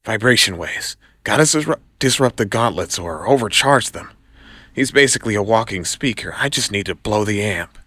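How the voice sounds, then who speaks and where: A man voicing a young, quippy, quick-thinking male superhero who talks to himself in an audio drama. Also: on the low side, animated, bright, forceful tone, no echo. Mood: tense, calculating.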